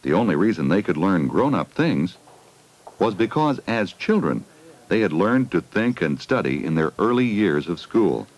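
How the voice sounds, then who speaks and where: Deep-voiced man narrating with lively swoops. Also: moderate pace, low-quality recording. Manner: addresses children with simple questions. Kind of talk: scripted educational film narration. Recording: 1980 16mm film soundtrack.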